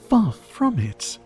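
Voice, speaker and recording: A man playing a centuries-old, sadistic vampire lord in a scripted ASMR roleplay, speaking close in a silky, theatrical, taunting way that often drops to breathy whispers. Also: fairly high pitch, darkish tone, full character voice. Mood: smug, coaxing. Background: faint music.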